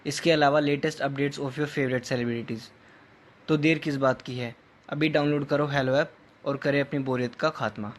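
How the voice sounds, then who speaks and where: A man delivers a scripted advert straight to viewers. His mid-pitched voice stays level, with little rise and fall. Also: steady tone, measured pace. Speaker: advertising presenter. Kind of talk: scripted app promotion.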